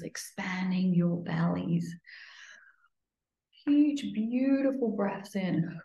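A woman leading a guided breathing meditation in a soothing, instructional way, sometimes dropping to a breathy near-whisper. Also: fairly low pitch, a little mellow. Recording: muffled, lo-fi, roomy echo.

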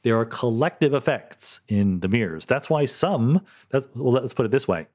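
A man's voice: medium pitch, animated, normal speed, darkish tone. A middle-aged man hosting a podcast, answering listener questions in a casual, thoughtful way, often correcting himself mid-sentence. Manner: thoughtful, self-correcting, lightly laughing. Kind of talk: casual solo Q&A explanation.

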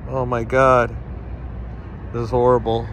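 A man's voice: medium pitch, measured pace. A man filming on his phone at night on a city street, casually reading out network speed test results to his viewers. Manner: relaxed, reads out speed test numbers, addresses viewers as guys. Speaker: tech vlogger testing phone networks. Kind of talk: casual on-the-spot commentary to viewers. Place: outdoors on a city street at night near a stadium. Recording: outdoor phone recording with traffic noise. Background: passing traffic and street ambience.

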